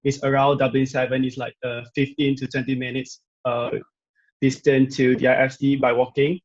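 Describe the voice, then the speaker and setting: A young man from Malaysia whose first language is Chinese speaks halting English as a student guest. His voice is mid-pitched and flat. It comes through a dull, muffled video-call line. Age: young adult. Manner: halting, with simple phrasing.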